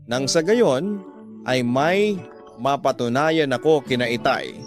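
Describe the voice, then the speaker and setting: A man's voice: medium pitch, slow, close to an everyday voice. A man voicing a shy, dutiful young woman, anxious in love, in a radio drama. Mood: resigned. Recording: music bed under the voice.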